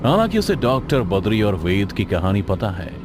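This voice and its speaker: A man's voice: on the low side, sing-song, normal speed, background noise. A man telling a scripted horror story in a dramatic manner. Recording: loud music behind the voice.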